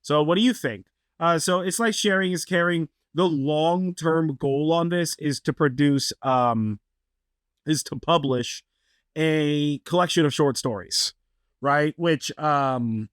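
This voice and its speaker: A man co-hosting a podcast in a loose, rambling way, leaning on fillers and restarts. His voice sits a bit high, and the sound is close and dry with no room echo. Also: slow, smooth. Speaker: podcast co-host. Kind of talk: casual chat.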